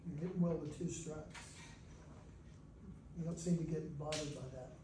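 A man's voice: on the high side, steady tone, moderate pace. A man reporter asking casual questions at a press conference. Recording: roomy, distant, noisy phone recording.

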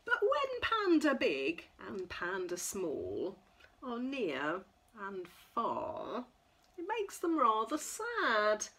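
A middle-aged woman leading a children's storytime, reading a picture book aloud in an expressive, sing-song way for small children. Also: a little high, extremely slow. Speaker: toddler class leader. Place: indoors in front of a backdrop.

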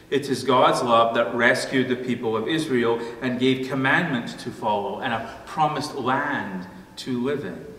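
A middle-aged or older man, a pastor, delivering a sermon with measured, deliberate phrasing. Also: medium pitch, a bit bright. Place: church room at a wooden pulpit. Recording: echoey church hall, distant mic, faint noise.

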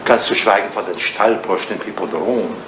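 An actor playing an elderly, devoted English butler in a 1980s German radio drama, speaking formally and deferentially with dry understatement. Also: male voice, fairly low pitch, moderate pace, natural voice. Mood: grave, narrating. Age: older. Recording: roomy, noisy old radio play recording.

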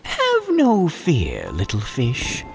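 A man voicing a sly, sinister cat in a storybook, with an unhurried, drawn-out, lilting delivery. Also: medium pitch, huge pitch swings, vocal fry, music in the background, pitched above the performer's own voice, exaggerated voice, poor audio. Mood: sly, gleeful. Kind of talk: storybook read-aloud.

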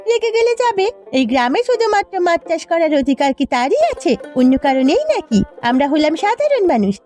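A very high, soft-toned village wife from a cartoon. She is bossy yet hopeful and coaxes with emphasis, with music playing underneath. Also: very animated, a little fast. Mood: scolding, defiant. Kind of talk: Bengali cartoon dubbing.

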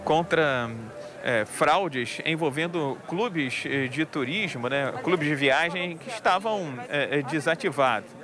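Male field reporter, slightly high voice, chatty live hit outdoors, crowd noise behind. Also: slow. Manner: chatty, many fillers, addresses anchor by name. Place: outdoors at a crowded public event. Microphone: handheld mic, wearing a face mask. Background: crowd murmur.

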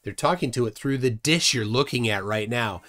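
A middle-aged man hosting a casual livestream from a room at home, scornful and animated, often trailing off quietly. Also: mid-range voice, normal speed. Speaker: host.

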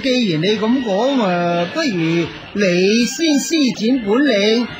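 A man's voice: high voice, expressive, takes it slowly. An actor playing an easygoing, teasing monk who likes his wine, drawling nonchalantly in a radio drama. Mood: teasing, unhurried. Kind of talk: Cantonese radio drama acting. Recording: music behind the dialogue.

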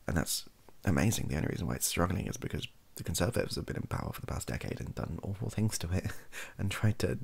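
A British man in his thirties speaking softly in an improvised, rambling monologue, halting often and correcting himself as he goes. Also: sits low, expressive, creaky, breathy edge. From United Kingdom. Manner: hesitant, halting, self-correcting. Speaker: ASMR video creator. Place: small indoor home room.

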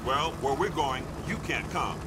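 An actor playing a blunt, impatient army sergeant who talks in short orders in a video game. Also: male voice, medium pitch, lively intonation, measured pace, heavy background noise, lightly acted. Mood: dry, firm. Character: gruff, impatient male army sergeant, no-nonsense. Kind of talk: video game cutscene acting.

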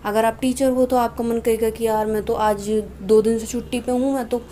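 A woman's voice: fairly high pitch, level tone, moderate pace, mellow. A woman with an Indian accent teaching a lesson in a conversational way, reading notes aloud and explaining them. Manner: conversational, repeatedly checking with "okay". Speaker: homeopathy teacher. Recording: noisy home-made screen-recording voiceover. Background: clear background noise.

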